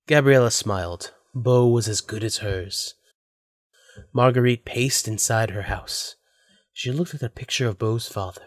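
A man's voice: mid-range voice, measured pace, no echo, clean studio sound. A man narrating a scripted audio drama as its storyteller.

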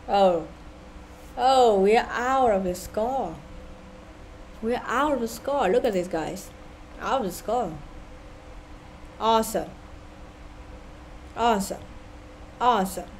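A woman's voice: mid-range voice, darkish tone, slight background noise, rough recording. Vietnamese-accented woman gaming channel host. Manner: sighing, sarcastic asides, halting and unhurried. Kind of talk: casual gameplay commentary.

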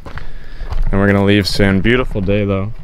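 A man's voice: lowish voice, flat delivery, a little slow, a little hiss or hum, rough recording. A young man chatting casually for a vlog, talking into a handheld camera outdoors. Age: young adult. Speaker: vlogger. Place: outdoors in a driveway by a house. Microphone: handheld camera at arm's length.

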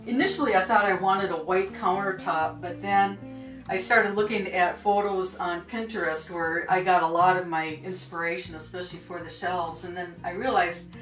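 Easygoing woman in her 50s, slightly low voice, roomy home sound with music under it. Chatty tour host. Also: steady tone, normal speed, a bit bright. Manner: chatty, easygoing, many ums and you-knows. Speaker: vlog co-host showing her sewing room. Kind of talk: casual home tour chat. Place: small home sewing room, indoors.

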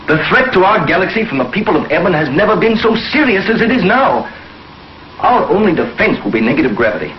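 A determined, scornful man of science in 1950s TV sci-fi. His voice is a bit high and moves a lot as he declaims. The old soundtrack is roomy and muffled. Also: very animated, fast talker. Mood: resolute, scornful. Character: stern, determined, skeptical male space scientist. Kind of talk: 1950s television science fiction acting. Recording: echoey, muffled, faintly noisy old TV soundtrack.

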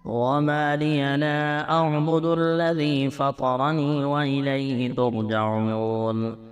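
A man chants the Quran as a reciter, with an extremely smooth and resonant voice. His delivery is slow and measured, each phrase ending stretched out melodically. The pitch sits in a middle male range. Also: level tone.

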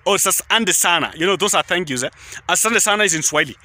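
A man's voice: high voice, expressive, fast talker, bright, forceful tone, dry recording. A young Kenyan man with a Kenyan accent, rambling casually into his phone at arm's length outdoors in a tea field, repeating himself often. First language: Kalenjin. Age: young adult. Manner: rambling, repetitive, keeps saying guys. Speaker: vlogger. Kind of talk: casual selfie vlog.

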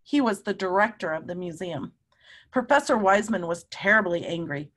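A middle-aged woman, a teacher, reads a children's story aloud expressively and emphatically, pausing to question and explain. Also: medium pitch, lively intonation, fairly bright tone. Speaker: teacher reading aloud to students. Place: small room at home.

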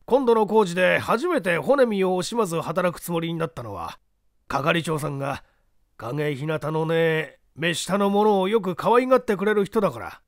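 A rough country construction laborer in an audiobook drama, played by a man. His talk is blunt and colloquial, pitched fairly high for a man, with big swings up and down. The sound is close and dry. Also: a bit bright, dry recording, heavily acted. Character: rough rustic construction laborers, shocked and gossiping. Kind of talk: dramatic audiobook character acting.

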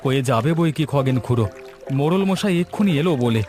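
A man voices an earnest young fisherman in a cartoon. The voice is fairly high and chatty. Light music runs underneath. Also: expressive, quick pace, darkish tone, close to an everyday voice. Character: hardworking young male village fisherman. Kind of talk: cartoon voice acting.

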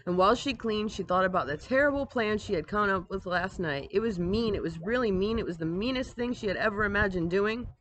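A woman's voice: mid-range voice, lively intonation, fairly quick, few pauses, a bit bright, poor audio. Woman reading a storybook aloud outdoors, faint noise. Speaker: teacher reading a children's novel aloud. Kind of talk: expressive storybook read-aloud with questions to listeners. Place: screened pool patio outdoors. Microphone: device camera at about arm's length. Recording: outdoor ambient noise, device microphone.

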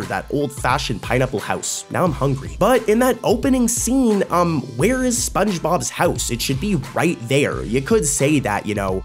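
A young man casually pointing out cartoon mistakes in a chatty way, often addressing viewers as guys. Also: mid-range voice. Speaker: YouTube cartoon commentator. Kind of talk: casual commentary.

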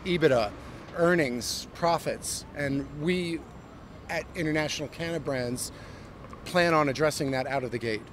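A middle-aged American man, a company CEO, giving a fluent corporate pitch with frequent ums and uhs in a street interview. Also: measured pace. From United States. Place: busy downtown street outdoors. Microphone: handheld mic held by interviewer. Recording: outdoor street with traffic noise. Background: city traffic.

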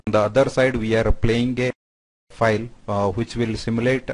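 A man narrating a software product demo step by step in a halting, explanatory way. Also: medium pitch, level tone, dry recording.

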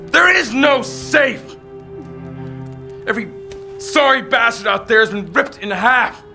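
A man's voice: very high-pitched, animated, a little slow, gravelly, bright, forceful tone, faint music behind, heavily acted. A man playing a bitter, panicked villager in a horror game, spitting insults at outsiders. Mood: furious.

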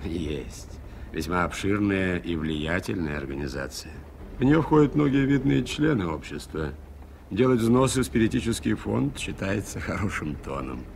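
An actor playing a polite, well-informed gentleman in a Soviet radio play, speaking in a measured, explanatory way. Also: low voice, lively intonation, vocal fry. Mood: earnest, explanatory. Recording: noisy 1980s radio play recording.

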